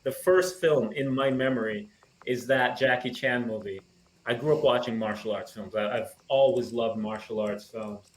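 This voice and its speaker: A man in his thirties with a subtle blend of Caribbean and American accent talks in an easygoing, reflective way. He pauses often with small fillers. The room sounds echoey, with a faint hum behind him. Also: medium pitch. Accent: mild Caribbean-American mix. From Barbados. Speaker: screenwriter guest. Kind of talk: relaxed interview conversation.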